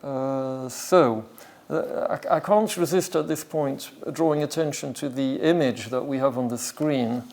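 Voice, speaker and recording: A male academic speaker with a strong gravelly creak and a middling pitch. He explains things off the cuff in a hesitant, halting way, thinking aloud as he lectures. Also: a little mellow. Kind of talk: academic talk with extempore explanation.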